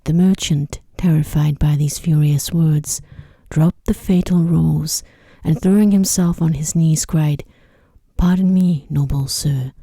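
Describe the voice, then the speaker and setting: Very soft, mellow, breathy woman narrator. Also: deep voice, fairly even intonation, measured pace, dry recording. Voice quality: soft, breathy, often near whisper. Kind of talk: soft-spoken ASMR sleep story narration. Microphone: close microphone.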